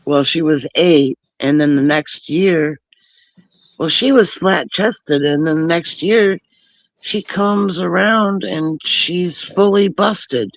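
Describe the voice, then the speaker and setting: A man's voice: fairly high pitch, slow pace, creaky, dry recording, rough recording. A man in a casual chat who talks in a hesitant, rambling way with many fillers.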